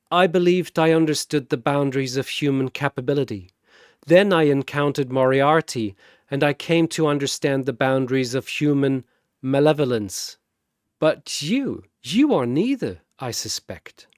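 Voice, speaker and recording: A man's voice: on the high side, a little slow, clean tone, no room sound, studio quality, close to an everyday voice. A man voicing an eloquent, dying Victorian detective in a battle story, with formal, wordy, analytical speech. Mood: reflective.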